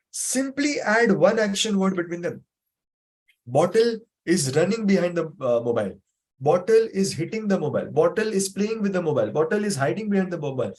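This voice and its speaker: A man's voice: sits high, darkish tone, husky. Man teaching an online memory class. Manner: patient, repetitive explaining with many fillers. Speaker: memory trainer. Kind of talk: live online class with questions. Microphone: video call.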